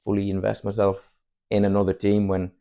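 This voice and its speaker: Fast-talking gravelly Irish man in his twenties. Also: lowish voice, completely flat delivery, dark tone, dull audio. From Ireland, Cork. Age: 20s. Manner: rambling, candid, chuckles often. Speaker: footballer guest. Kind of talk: casual podcast chat.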